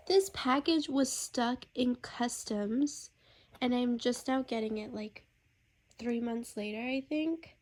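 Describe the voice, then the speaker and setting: A woman's voice: fairly high pitch, darkish tone. A woman vlogger chatting casually and informally to camera in a rambling way, slightly nasal and congested from a cold. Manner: informal, rambling, girly slang. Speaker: lifestyle vlogger. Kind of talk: casual chat to camera, room tour.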